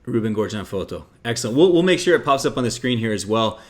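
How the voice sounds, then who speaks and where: Rapid, gushing male host, stammering restarts, mid-pitched. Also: expressive. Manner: effusive, stammering restarts, lots of "like". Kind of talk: enthusiastic interview chat.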